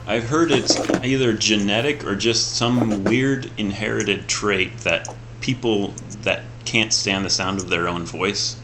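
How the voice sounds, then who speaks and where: Guy with a really deep clear voice, low and easy, chatting before the game w music behind. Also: fairly even intonation, unhurried, rough recording. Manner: chatty, laughs easily, storytelling asides. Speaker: player. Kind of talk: casual pre-game chat.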